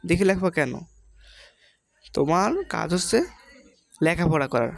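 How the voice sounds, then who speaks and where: Low-voiced Bangladeshi woman giving advice. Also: big pitch swings, measured pace, gravelly, no room sound. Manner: didactic, questioning, repeats points. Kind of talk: informal advice and moral teaching.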